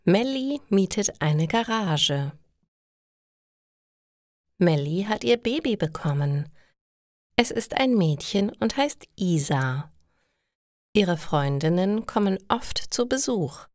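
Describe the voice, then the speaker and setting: A woman narrating a scripted story for language learners, enunciating clearly and deliberately. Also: medium pitch, very animated, a little mellow, no echo, clean studio sound.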